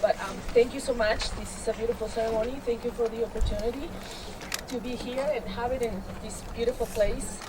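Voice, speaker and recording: Woman from Peru, new citizen, fluent and earnest, slightly high pitch, background noise. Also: steady tone, brisk. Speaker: new citizen giving a speech. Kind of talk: short public speech.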